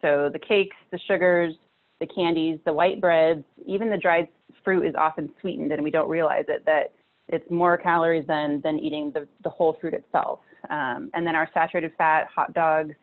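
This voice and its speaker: A woman in her thirties with a low voice talks through nutrition slides in a relaxed, chatty way, full of fillers and restarts. She speaks into a webcam from a small room at home. Her audio comes through a narrow, tinny video call line. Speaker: nutrition presenter. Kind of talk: casual slide-based nutrition talk.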